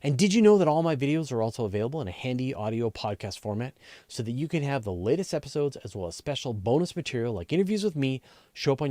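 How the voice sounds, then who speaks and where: A man with a relaxed, dark-toned voice hosts a casual live question show. He explains things conversationally, working through his thoughts out loud. The sound is dry, with very little room around him. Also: medium pitch, no echo. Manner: conversational, explanatory, thinks aloud. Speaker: host of a space and astronomy question show.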